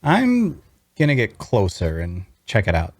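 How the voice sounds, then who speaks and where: A man playing a wry young traveler, telling his fantasy adventure in measured, dramatic first-person narration at a table read. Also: lowish voice, huge pitch swings, no echo, studio quality, exaggerated voice. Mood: cautious. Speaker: voice actor.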